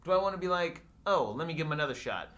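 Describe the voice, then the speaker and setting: A man's voice: fairly high pitch, animated, racing, a bit bright. A man giving casual, opinionated movie talk as a podcast panelist.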